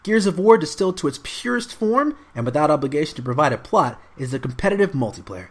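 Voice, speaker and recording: A man delivers a scripted video game review voice-over. His voice sits a little high, and his intonation moves up and down in an animated way as he reads. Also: fast. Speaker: voice-over narrator.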